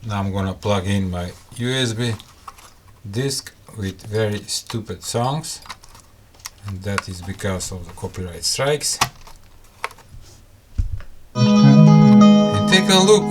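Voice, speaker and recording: A man hosting a casual hands-on product review, speaking English with non-native phrasing. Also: medium pitch. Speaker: host of a gadget review channel. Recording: loud music behind the voice.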